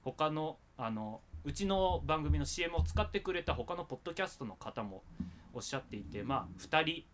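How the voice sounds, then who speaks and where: A man's voice: a little high, animated, a little fast, fairly bright tone. A man hosting a podcast in casual, jokey, loosely improvised banter, sometimes reading out listener mail. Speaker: podcast host.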